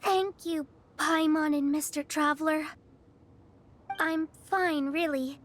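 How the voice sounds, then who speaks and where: A woman voicing a gentle, polite young girl in a video game, with a soft, reassuring manner. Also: high voice, fairly even intonation, slow pace, a little hiss or hum.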